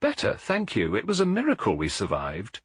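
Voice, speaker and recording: A man voicing a shaken, injured mother who speaks in a halting, worried way in a mystery story. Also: on the low side, huge pitch swings, slightly rough, no room sound, clean studio sound, full character voice. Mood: shaken, relieved. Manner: halting, pained.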